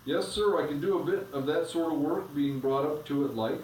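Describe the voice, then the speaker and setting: A man's voice: on the high side, brisk, darkish tone, a little hiss or hum, close to an everyday voice. A man reading aloud, voicing a modest, honest cab driver with a Cockney accent, plain-spoken and bashful. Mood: humble. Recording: echoey room, from a distance.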